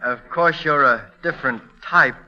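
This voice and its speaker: A man's voice: fairly high pitch, normal speed, lightly acted. A man playing an earnest, tactless, hesitant suitor in a 1940s radio comedy. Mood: awkward, eager. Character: awkward, tactless, eager drugstore manager suitor. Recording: dull vintage radio recording.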